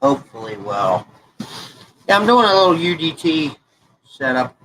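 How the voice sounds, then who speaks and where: A man's voice: fairly high pitch, big pitch swings, normal speed, a bit bright, slight background noise, rough recording. A congested man in his forties, chatting in a folksy, rambling way while he works on a crafting project. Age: 40s. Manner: folksy, rambling, self-correcting. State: congested, stuffed-up nose. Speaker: hobby crafting vlogger.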